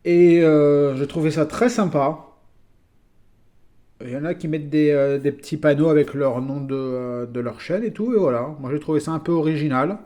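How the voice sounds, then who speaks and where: A man from France talks casually through his collection of toy vehicles. He hesitates often and fills gaps with small sounds. His voice sits a little high and sounds warm and mellow. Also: moderate pace. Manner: chatty, hesitant with many fillers. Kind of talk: casual video presentation.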